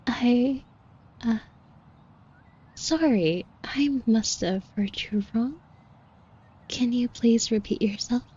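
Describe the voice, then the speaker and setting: A woman playing a shy, flustered florist with a crush in an audio roleplay, speaking softly and breathily in a hesitant, apologetic way. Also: a little high, takes it slowly, long pauses, breathy edge, lo-fi sound. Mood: flustered, embarrassed. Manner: hesitant, stammering, rambling, apologetic. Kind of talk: intimate roleplay audio acting.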